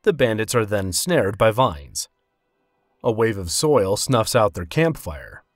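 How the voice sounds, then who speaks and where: A man's voice: on the low side, very animated, slow pace, no room sound, studio quality, soft background music. A man narrating a scripted fantasy story.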